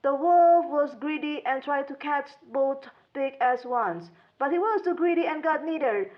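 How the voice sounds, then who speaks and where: A woman's voice: high voice, measured pace. A woman storyteller narrating a children's storybook tale, on a dull recording. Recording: dull lo-fi sound.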